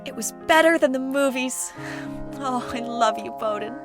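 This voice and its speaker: A woman's voice: high-pitched, moderate pace, music in the background, natural voice. A woman playing a dramatic, fame-hungry teenage girl in a comedic audio drama, theatrical and exclamatory. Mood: sweet, smitten. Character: dramatic scheming teenage prom girl, viral-fame obsessed.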